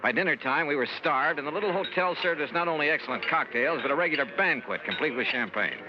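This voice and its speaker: An actor playing a wry, impatient but good-natured investigator who also narrates, in 1950s radio drama style. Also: male voice, on the high side, lively intonation, quick pace, background noise, natural voice. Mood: wry narration. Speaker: lead detective and narrator. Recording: dull old 1950s radio recording, music.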